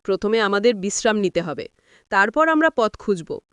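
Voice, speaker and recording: A woman's voice: mid-range voice, animated, normal speed, a bit bright, smooth, no room sound, natural voice. A woman voicing a reassuring, knowledgeable older father-in-law in storybook dialogue. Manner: reassuring, explanatory.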